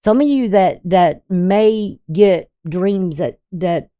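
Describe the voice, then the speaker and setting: A sixty-something astrologer talks through the day's chart in a loose, meandering way, trailing off and restarting often. The voice sits at a middling pitch. The recording sounds dull and lo-fi. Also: slow. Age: 60s. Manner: rambling, hesitant, with frequent ums and restarts. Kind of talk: casual daily astrology reading over a chart.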